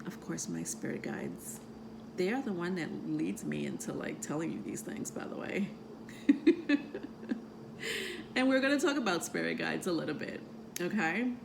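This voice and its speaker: A woman with a soothing voice, who grew up outside the United States, talking about astrology to her viewers in a meandering way full of ums and soft laughs. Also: medium pitch, vocal fry, background noise. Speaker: tarot reader and astrology vlogger. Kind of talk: casual rambling talk to camera.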